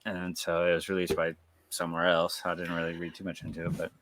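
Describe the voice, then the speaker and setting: A man's voice: low voice, monotone, fast, fairly bright tone, a little hiss or hum. A man co-hosting a podcast in loose, rambling chat, laughing often and swearing freely. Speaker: podcast co-host. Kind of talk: casual chat.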